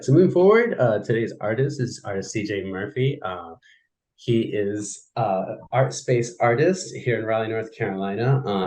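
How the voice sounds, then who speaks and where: A man opens a livestreamed studio program, stopping and restarting often with fillers. His voice sits a bit low. The delivery stays casual and unpolished. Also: darkish tone. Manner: loose and halting, with many fillers. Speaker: studio programs manager, host. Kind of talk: casual live-stream introduction.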